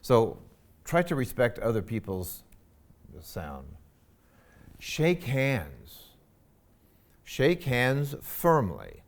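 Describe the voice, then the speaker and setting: A man giving a university lecture on etiquette, with a loud, emphatic voice and frequent fillers. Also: medium pitch, huge pitch swings, extremely slow, long pauses.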